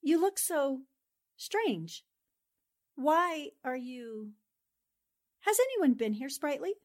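A woman's voice: high-pitched, slow pace, full character voice. An actress playing an affectionate, spirited newspaperwoman in a 1940s-style radio drama, speaking expressively and with fond familiarity. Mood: alarmed, concerned. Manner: expressive, chatty, uses pet endearments. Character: affectionate, spirited newspaperwoman concerned for her friend.

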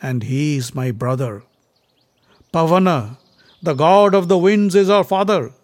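A man voicing a mighty, hot-tempered warrior prince in a storybook myth, declaiming loudly and indignantly. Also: mid-range voice, steady tone, normal speed, no echo, close to an everyday voice. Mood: indignant, boastful.

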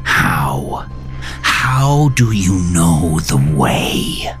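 A man's voice: sits low, sing-song, extremely slow, a bit bright, exaggerated voice. A man reads the part of a bold, guarded woman in a horror audio drama. The delivery is blunt and challenging, slightly teasing, with faint music underneath. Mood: wary. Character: wary, bold, teasing woman confronting an awkward driver.

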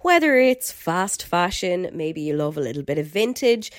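An Irish woman hosting a podcast in a chatty, enthusiastic way, her voice a little croaky from a head cold. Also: on the low side, big pitch swings, moderate pace, no room sound, studio quality. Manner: chatty and enthusiastic, with filler words. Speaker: podcast host. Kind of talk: casual podcast chat.

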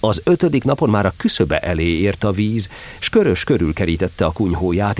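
A male narrator with a fairly low voice tells a children's story. His intonation is very lively, swinging up and down as he reads the scripted tale expressively.

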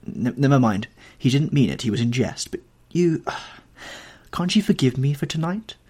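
Brief: distraught young actress, voiced by a man, husky, lifted pitch, pleading. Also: lively intonation, moderate pace, a little mellow. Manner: tearful, breathless pleading.

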